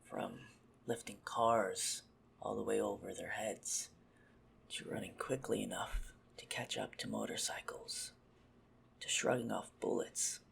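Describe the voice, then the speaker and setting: A man playing a teasing, menacing, possessive vampire in an ASMR roleplay, mocking and condescending, with a husky, breathy, gravelly voice that often drops to a whisper. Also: lowish voice, big pitch swings, a little slow, a bit bright, exaggerated voice. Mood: probing, calm.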